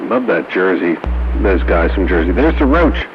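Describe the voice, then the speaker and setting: A man's voice: low voice, sing-song, moderate pace, highs cut off, over loud music. A man on CB radio, chatting casually in laid-back operator slang. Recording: noisy CB radio with background hiss.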